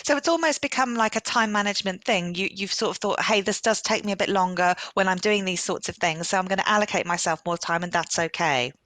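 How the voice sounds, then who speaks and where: A woman's voice: mid-range voice, quick pace, few pauses, a bit bright, dry recording. A woman hosting a live online student session, reading out poll results and viewer comments in an encouraging, chatty way. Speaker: host of a live student webcast.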